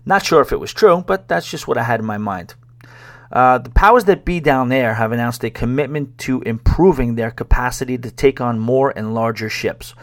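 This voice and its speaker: A man's voice: mid-range voice, normal speed. A man hosting a solo podcast, talking casually in a wry, sarcastic way with frequent restarts. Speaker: podcast host. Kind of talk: casual solo podcast talk about cruises.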